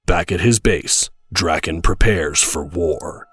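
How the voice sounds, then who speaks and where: A man with a husky voice narrating a scripted audio drama. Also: sits low, slow, fairly bright tone.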